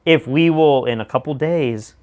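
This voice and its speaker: An American man with a mid-pitched, slightly raspy voice co-hosts a talk show. He argues emphatically in a casual, conversational way. He often pushes his points along with rhetorical questions. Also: moderate pace, a little mellow. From United States. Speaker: show co-host. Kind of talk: casual opinionated discussion.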